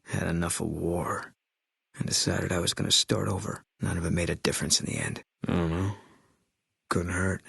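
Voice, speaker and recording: An actor playing a terse, guilt-haunted ex-army sniper in a video game, deadpan and clipped, with a low husky voice. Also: male voice, normal speed, dry recording, full character voice. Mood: bitter, guarded. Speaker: video game companion character.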